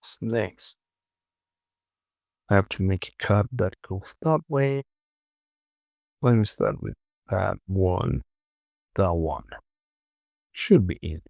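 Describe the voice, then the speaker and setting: A man with a gravelly voice casually narrating a software tutorial, muttering short, repeated phrases to himself as he works. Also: sits low, expressive, takes it slowly, long pauses, no room sound, clean studio sound. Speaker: tutorial host.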